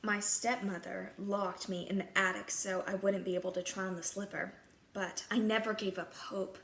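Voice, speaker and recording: Bright-voiced woman storyteller in an echoey room. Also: mid-range voice, flat delivery, moderate pace. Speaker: storyteller in character as a fairy-tale princess. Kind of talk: scripted first-person children's story narration. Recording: echoey room, clear background noise.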